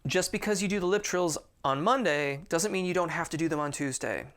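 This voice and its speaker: A man's voice: fairly high pitch, fairly quick, fairly bright tone, clean tone. A man who teaches singing, answering viewer questions in a casual, friendly way and often restarting his sentences. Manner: chatty and informal, with frequent restarts.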